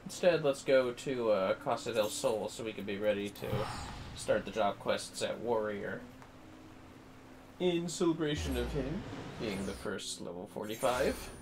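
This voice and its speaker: A man's voice: mid-range voice, expressive, unhurried, noisy background. A man hosting a casual gaming livestream from home, murmuring to himself and tossing playful asides to his viewers. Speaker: livestreamer, host. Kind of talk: casual gaming livestream commentary, talking to chat. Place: indoors at home.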